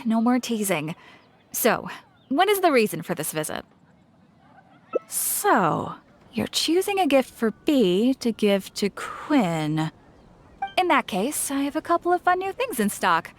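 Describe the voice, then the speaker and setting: A woman's voice: fairly high pitch, a little hiss or hum. A woman voicing a friendly, chatty shopkeeper in an anime video game, with a knowing, teasing manner.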